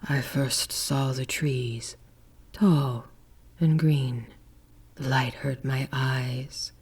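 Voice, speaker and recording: A man voicing a bewildered, childlike strange man in a horror story, speaking softly and haltingly in dreamy fragments. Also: medium pitch, steady tone, husky, heavily acted. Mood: dreamy, dazed. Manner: slow, halting, dreamy, rambling in short fragments. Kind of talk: dramatic horror story reading.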